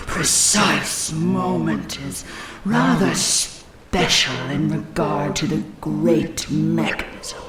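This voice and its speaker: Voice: mid-range voice, gravelly, roomy sound, distant mic, over a noisy crowd. An actor playing an ancient, malevolent inhuman entity in a horror audio drama, with a mocking, pompous, theatrical manner. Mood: grandiose contempt. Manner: slow, taunting, pompous, theatrical.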